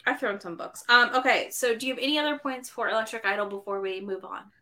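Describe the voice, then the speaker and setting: A woman's voice: on the high side, quick pace, pushed and bright. A woman hosting a podcast, chatting casually about a book and thinking aloud as she goes. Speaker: podcast host.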